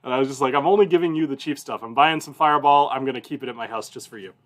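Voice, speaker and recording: Male host, 30s, mid-pitched voice; informal livestream chat, loose anecdotal delivery that wanders and doubles back. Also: racing. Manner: informal, rambling, self-interrupting. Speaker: whiskey review show host.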